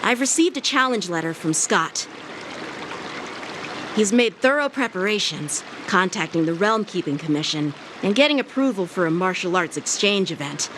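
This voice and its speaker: A woman's voice: fairly low pitch, lively intonation, moderate pace, noisy background, lightly acted. A woman voicing a confident, courteous teenage boy sword master in an anime-style game, speaking politely and formally. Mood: calm, formal.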